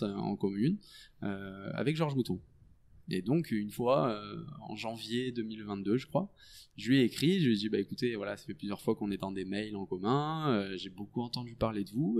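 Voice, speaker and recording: French guy doctor in his 30s, low gravelly voice, chatty and hesitant. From France. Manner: relaxed, explanatory, many hesitations. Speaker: guest doctor. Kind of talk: casual podcast interview.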